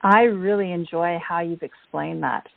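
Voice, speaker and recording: A woman's voice: lowish voice, measured pace, creaky, dry recording. A woman hosting a conversational interview, effusive and affirming, with emphatic phrasing. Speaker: podcast host, interviewer. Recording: dry but phone-like narrow sound.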